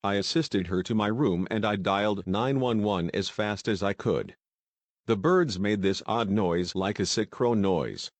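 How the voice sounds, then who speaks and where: A fairly low-voiced male survivor acted by a man recounts a disaster as a news eyewitness. The delivery is deliberate and recited, with a dry, roomless sound. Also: no echo. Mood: grim, recounting. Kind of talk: scripted news interview testimony.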